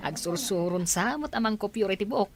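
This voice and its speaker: A woman's voice: medium pitch, lively intonation, normal speed, heavy background noise, pitched above the performer's own voice, close to an everyday voice. A woman playing a gossipy, mocking female wedding guest who teases and exclaims in a radio drama. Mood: mocking amusement. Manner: exclaiming, teasing.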